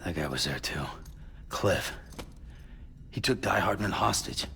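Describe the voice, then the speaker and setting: An actor playing a gruff, weary, stubborn male game hero with a low gravelly voice and a terse, blunt way of talking. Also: animated, a little slow, pushed and bright, slight background noise, exaggerated voice. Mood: insistent, distrustful. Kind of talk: cinematic video game dialogue.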